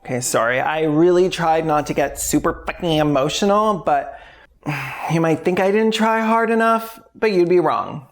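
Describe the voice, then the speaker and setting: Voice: medium pitch, expressive, moderate pace. A video essay host talking in a candid, self-deprecating, wryly jokey way, conversational but scripted. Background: background music.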